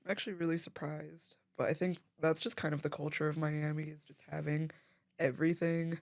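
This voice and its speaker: A woman's voice: sits low, little pitch movement, brisk, creaky. A woman hosting a podcast, chatting casually and laughing often. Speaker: podcast host. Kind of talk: casual conversation.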